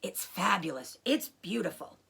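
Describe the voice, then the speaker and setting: A woman's voice: fairly low pitch, lively intonation, a little slow, creaky, sharp tone, slightly rough, full character voice. A woman voicing a confident, cautious father fox in a storybook, speaking emphatically. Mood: breathless wonder. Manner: emphatic, commanding.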